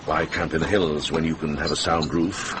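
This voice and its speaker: An actor playing a shrewd, worldly older monk in a radio drama, dry and watchful in manner. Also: male voice, extremely low pitch, steady tone, moderate pace. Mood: measured, shrewd. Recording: noisy vintage radio drama recording.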